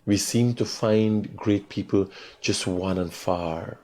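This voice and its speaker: A Guyanese man in his forties hosting a call-in talk show, slow and reflective alone, loud and rapid when arguing. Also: fairly low pitch, fairly even intonation. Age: 40s. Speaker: radio call-in host.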